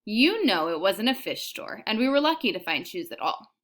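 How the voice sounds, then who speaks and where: A woman's voice: medium pitch, big pitch swings, normal speed, fairly bright tone. A woman reading a storybook as a patient, slightly exasperated mother soothing her child.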